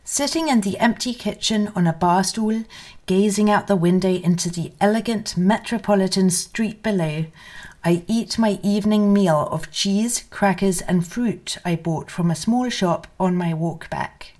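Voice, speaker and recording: A woman's voice: mid-range voice, a little slow, darkish tone. Woman reading a literary memoir. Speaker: reader of memoir excerpts.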